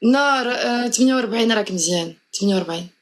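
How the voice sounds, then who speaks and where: A woman's voice: a little high, very fast. A woman in her twenties from Switzerland chatting playfully on a live stream and slipping between French and Arabic. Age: 20s. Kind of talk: casual live chat.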